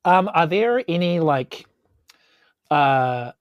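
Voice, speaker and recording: A woman's voice: low-pitched, lively intonation, takes it slowly, no room sound, highs cut off. A woman with a New Zealand accent talking casually as a role-playing player, politely and a little hesitantly. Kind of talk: casual game talk.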